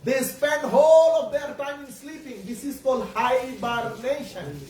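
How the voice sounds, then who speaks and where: High-voiced man preaching in an echoey room. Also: darkish tone. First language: Bengali. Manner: halting, repetitive, searching for words; asks the audience questions. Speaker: Islamic preacher. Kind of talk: sermon to children. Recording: echoey room, distant mic, faint background noise.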